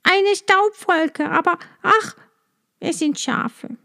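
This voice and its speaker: A woman voicing an anxious young sister who answers from a lookout in a high, despairing voice. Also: slow pace, creaky, bright, forceful tone, exaggerated voice.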